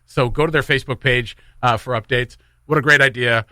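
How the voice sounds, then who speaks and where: A man hosts a casual morning radio show in a bright, forward voice at an average pitch. He rambles and jokes his way through local announcements. The sound is dry and close, like a studio. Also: steady tone, a little fast, dry recording. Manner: joking, loose and rambling.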